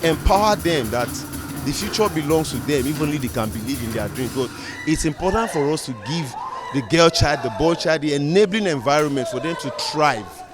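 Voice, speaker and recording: A man with a Nigerian accent speaking as a producer and director in an interview, in emphatic, deliberate short phrases. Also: on the high side, animated. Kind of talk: event interview remarks. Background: music.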